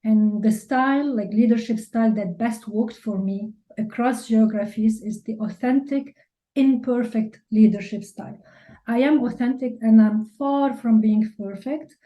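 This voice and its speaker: A woman's voice: on the high side, measured pace, warm tone, rough recording. A woman from Lebanon with a Lebanese accent, answering interview questions candidly and informally, often saying "like". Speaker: interview guest, business leader and mentor.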